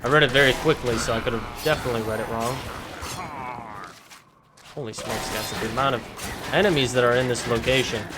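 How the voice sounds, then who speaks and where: A man casually commentating his video game play for viewers, chatty and slightly hoarse from talking all day. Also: medium pitch, steady tone, fairly quick, pauses often, heavy background noise. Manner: chatty, addresses viewers as guys, frequent fillers. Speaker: gaming video creator. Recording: game music loud behind voice. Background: game music and combat sounds.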